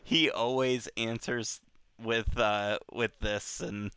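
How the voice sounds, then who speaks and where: A man casually discussing a book as a show host, thinking aloud in halting, repetitive phrases. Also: medium pitch, steady tone, fairly bright tone, slight background noise.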